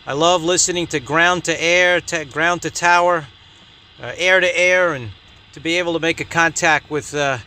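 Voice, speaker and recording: Bright-voiced man hosting a hobby vlog. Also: on the high side, moderate pace. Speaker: amateur radio video host. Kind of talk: upbeat hobby vlog narration.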